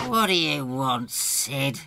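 A man's voice: mid-range voice, animated, slow, vocal fry, bright, forceful tone, a little hiss or hum, full character voice. A British man playing a cunning, pompous medieval lord in a comedy audio drama, sneering and exasperated. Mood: disgusted.

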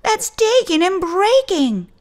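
Storybook young mackerel lad voiced by a woman: scolding best friend, high-pitched, animated. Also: slow pace, heavily acted. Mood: indignant. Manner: scolding, emphatic. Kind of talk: children's storybook character voice.

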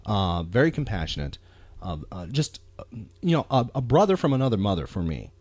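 A man hosting a casual talk show, speaking earnestly and haltingly, often repeating words as he goes. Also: on the low side, very animated, a little mellow, no echo. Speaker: podcast host.

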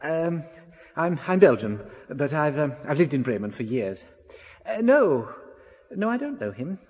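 A high-pitched, nervously genial businessman. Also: very animated, unhurried, darkish tone, breathy edge, exaggerated voice. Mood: nervous, curious. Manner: hesitant, ingratiating, chuckling, stammering. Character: genial, nervously eager Belgian businessman living in Germany. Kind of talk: radio drama acting.

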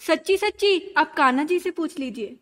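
Woman playing devoted princess, high-pitched, earnest, gentle, steadfast, pleading, radio drama. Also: animated, moderate pace. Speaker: actor in a student radio drama. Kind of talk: radio drama acting in Hindi.

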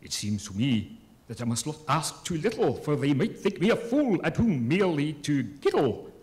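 A man's voice: on the high side, big pitch swings, normal speed, a little mellow. A man playing a grandiose, self-important poet who declaims in rhyme in a theatrical comic reading. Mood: earnest deliberation. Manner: pompous, declamatory, rhyming.

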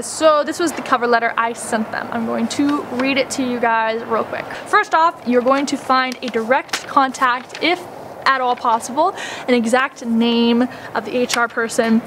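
A woman's voice: fairly high pitch, normal speed. Chatty young American woman in a backyard. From United States. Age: 20s. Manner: chatty, filler-heavy with frequent "like" and "um". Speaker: travel vlogger. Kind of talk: casual instructional chat. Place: backyard garden outdoors. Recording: outdoor ambience with passing traffic.

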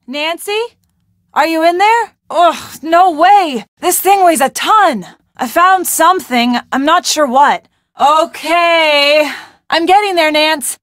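An actress playing a wry, peppy young woman in an adventure game, expressive and colloquial, with an American accent. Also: very high voice, slow pace, no echo, clean studio sound, heavily acted. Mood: exasperated, urgent. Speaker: video game voice actor. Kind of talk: scripted adventure game dialogue.

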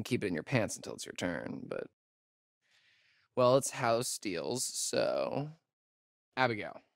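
An actor playing a joking, sardonic young camp counselor in a video game, with an American accent and a laid-back, slangy way of talking. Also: male voice, mid-range voice, creaky, dry recording, studio quality, heavily acted. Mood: playful, teasing. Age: young adult. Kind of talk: naturalistic video game acting.

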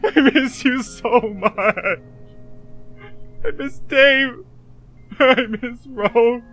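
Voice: mid-range voice, very slow, a bit bright, faint music behind. A performer voicing a despairing, lonely boy who speaks through tears in an emotional fan dub. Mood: sobbing despair. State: crying. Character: sobbing, despairing boy John, desperate for company.

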